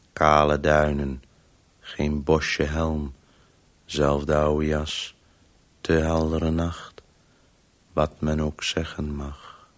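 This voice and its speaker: An actor playing a weary, disembodied Voice in a radio play, obsessively telling a story in halting, clipped, sometimes breathy fragments. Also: male voice, very deep voice, exaggerated voice.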